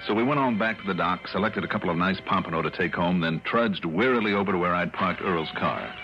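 Deep-voiced American radio detective. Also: male voice, fast talker, over music. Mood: upbeat, contented. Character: keen, steady male investigator narrating his own case. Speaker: lead character and narrator. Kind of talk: 1960s radio detective drama acting. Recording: muffled vintage radio recording.

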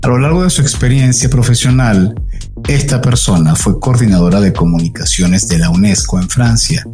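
Low-voiced man hosting a podcast. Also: darkish tone. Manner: measured, storytelling delivery. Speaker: podcast co-host. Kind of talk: podcast intro and interview.

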